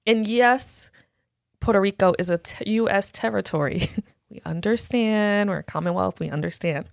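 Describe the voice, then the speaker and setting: A woman guest casually recounting her story in conversation, with a relaxed storytelling manner and soft, frequent affirmations. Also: mid-range voice, normal speed, gravelly, no room sound, clean studio sound. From United States.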